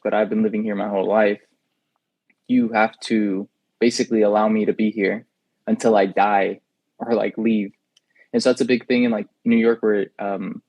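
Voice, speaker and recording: A man's voice: lowish voice, a little mellow, dry recording. A young adult man whose first language is Spanish, telling rambling stories with lots of "like" fillers as a podcast co-host. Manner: rambling storytelling with many fillers. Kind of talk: casual chat.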